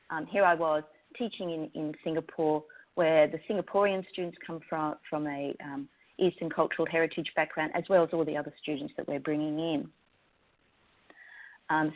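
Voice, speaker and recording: Australian woman academic, low voice, hesitant with ums, dull lo-fi recording. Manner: thoughtful, frequent ums and restarts. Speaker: university lecturer. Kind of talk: prepared talk to educators.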